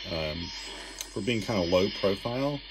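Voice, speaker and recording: A man's voice: deep voice, animated, normal speed, noisy background. A man giving a casual hands-on demo of radio gear as a hobbyist. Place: indoors at a desk. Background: shortwave radio music and sound.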